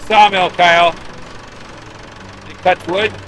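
A man's voice: sits high, steady tone, slow pace, noisy background. A middle-aged man running a sawmill outdoors, answering with terse, dry jokes. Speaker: sawmill operator. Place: outdoor lumber yard.

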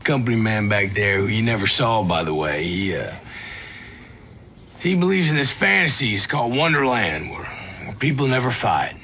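An actor playing a breezy, cynical special-forces soldier in a video game, with halting, teasing talk. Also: male voice, lowish voice, big pitch swings, sharp tone, slight background noise, exaggerated voice. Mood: wry, cynical. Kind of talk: naturalistic video game acting.

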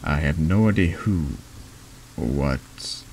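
A man reading a horror text game aloud in a soft ASMR narration style, halting and stumbling over longer words. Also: a bass voice, lively intonation, slight background noise.

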